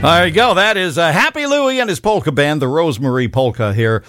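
Animated Minnesota man hosting folksy radio. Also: on the high side, fairly quick, over loud music. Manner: folksy, rambling, conversational. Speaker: radio show host. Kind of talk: folksy radio music show chat.

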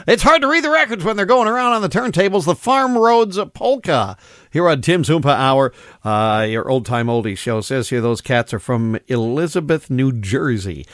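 A man hosts a polka radio hour in a loose, folksy way, rambling between records with plenty of fillers. His voice sits fairly high and swings widely in pitch. It comes through a close, dry studio microphone. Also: animated, moderate pace, fairly bright tone, no room sound. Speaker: radio show host. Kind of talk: casual radio disc jockey chat.

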